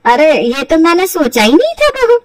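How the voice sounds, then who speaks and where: A woman plays an easily swayed older mother-in-law in a cartoon family tale. Her voice is high and motherly, agreeable in manner. The sound is dry and slightly distant. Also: big pitch swings, moderate pace, no echo.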